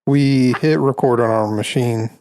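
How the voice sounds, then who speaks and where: A man in his late twenties co-hosting a casual podcast, telling stories in a relaxed, rambling way. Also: medium pitch, measured pace, no echo. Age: 20s. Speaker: podcast co-host. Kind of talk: casual chat.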